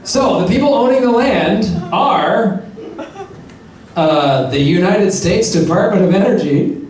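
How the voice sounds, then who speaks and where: A man's voice: high-pitched, animated, unhurried, darkish tone. Man hosting live comedy in an echoey hall. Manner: joking, profane, sarcastic asides between facts. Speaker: comedy podcast host. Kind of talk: live comedic storytelling with crowd banter. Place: live venue before an audience. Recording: echoey live room, distant mic, crowd.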